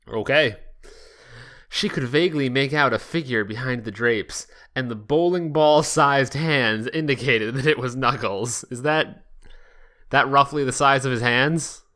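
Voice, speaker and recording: Amused man with a bright, forceful voice. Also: mid-range voice, a little slow, long pauses. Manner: amused, laughs through reading, crude joking. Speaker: podcast co-host. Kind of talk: casual comedic reading with banter.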